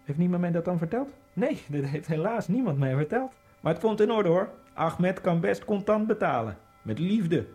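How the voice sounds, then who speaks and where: An actor playing a nervous, wryly ironic Egyptian immigrant, narrating his thoughts in a hushed first-person monologue. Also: sits high, very animated, normal speed, soft background music. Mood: brooding. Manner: hushed, brooding inner monologue.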